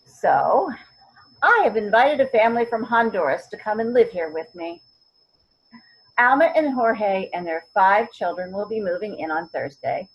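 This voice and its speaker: An actress plays an elderly mother in a stage drama, her voice husky and mid-pitched. She is stubborn and wry, hunts for the right word, then lands it with firm emphasis. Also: expressive, moderate pace, dull audio. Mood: earnest. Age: older. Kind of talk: virtual readers theater acting.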